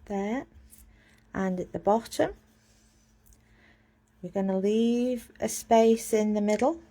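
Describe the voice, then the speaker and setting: A woman's voice: mid-range voice, takes it slowly, warm tone. A woman giving a casual live drawing lesson, chatty and self-mocking as she talks through each step while drawing. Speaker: drawing teacher.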